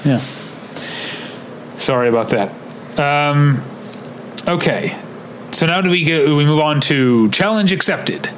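Male podcast co-host, halting, noisy recording. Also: fairly high pitch, expressive, fairly bright tone. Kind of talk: casual unscripted podcast chat.

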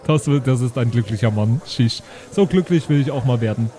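A man's voice: medium pitch, a little fast, warm tone, some chatter behind, lightly acted. A man playing a naive, friendly adventurer, guileless and chatty, in an improvised tabletop audio game. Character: naive, friendly male adventurer named Norman.

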